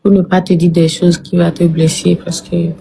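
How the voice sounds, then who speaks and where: A woman's voice: on the low side, little pitch movement, normal speed, vocal fry, warm tone, a little hiss or hum, rough recording. A woman speaking casually in an intimate one-to-one conversation.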